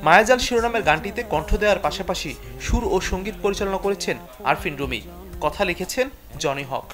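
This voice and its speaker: A man's voice: high voice, animated, fairly quick. A man reading a scripted entertainment news report as a television reporter. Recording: background music under voice-over. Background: music.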